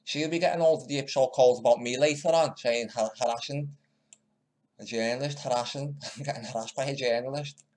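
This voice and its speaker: A man's voice: medium pitch, fairly quick, a little mellow. A man giving casual, mocking commentary while editing clips, often muttering to himself. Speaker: video maker commenting on news clips.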